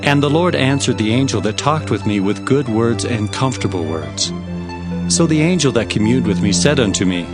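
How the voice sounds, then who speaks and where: A man's voice: medium pitch, animated, normal speed, over loud music, close to an everyday voice. An actor playing an earnest prophet recounting his visions, in solemn and dramatic scripture narration. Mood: solemn, questioning.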